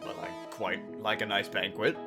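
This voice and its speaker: A man's voice: fairly low pitch, level tone, moderate pace, natural voice. A man playing an earnest questing knight who tells his own fantasy tale in a dramatic manner, with a wry streak. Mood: wry, unimpressed. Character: earnest questing young male knight, wry and easily startled. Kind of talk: first-person dramatic fantasy narration. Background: music.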